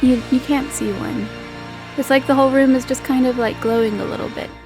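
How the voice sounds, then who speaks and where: A woman's voice: high voice, fairly even intonation, brisk, music in the background, low-quality recording. A woman improvising descriptions as game master of a tabletop roleplaying game, in an informal, feeling-her-way manner.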